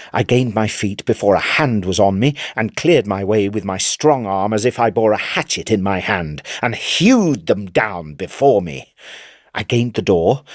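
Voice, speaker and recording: An actor playing a gleeful, vengeful madman confessing his crime in a dramatic first-person literary reading, theatrical and swinging between hushed and fierce. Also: on the low side, no room sound, studio quality.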